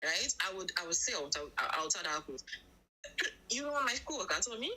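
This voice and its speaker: A woman's voice: lowish voice, big pitch swings, fairly quick, sharp tone, rough recording. A woman from Tobago with a Trinidad and Tobago accent, talking emphatically in a casual live chat. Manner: emphatic, repeats for stress.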